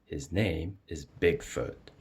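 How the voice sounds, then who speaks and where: A man reading a children's picture book aloud as an expressive storyteller. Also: rumbling low, slow pace, slightly rough. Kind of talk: expressive read-aloud narration.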